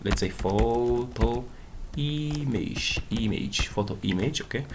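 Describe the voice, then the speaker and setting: A man whose first language is Portuguese, walking through a coding tutorial in a casual way, often saying "okay" and "boom". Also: expressive, slow pace, background noise. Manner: non-native English with small slips. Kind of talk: screen-recorded coding walkthrough.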